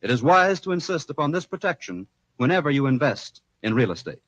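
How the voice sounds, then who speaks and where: Formal American radio announcer, vintage broadcast sound. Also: male voice, a little high, big pitch swings, brisk, muffled recording, noisy background. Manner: formal, polished delivery. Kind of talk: 1940s radio announcing, scripted sponsor narration.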